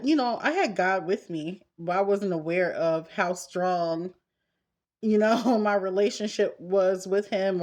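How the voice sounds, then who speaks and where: A woman's voice: medium pitch, a little mellow. A woman in her thirties chatting casually and candidly to her viewers, as in a get-ready-with-me vlog. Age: 30s. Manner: candid, conversational, talking straight to women viewers. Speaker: vlogger. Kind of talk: casual confessional chat while getting ready.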